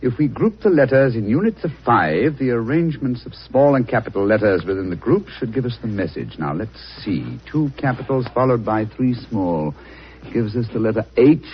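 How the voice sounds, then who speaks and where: An actor playing an eager, self-assured English gentleman detective, precise and theatrical, in a 1940s radio drama. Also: mid-range voice, sing-song, moderate pace, lightly acted. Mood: keen, analytical. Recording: muffled, hissy vintage radio recording.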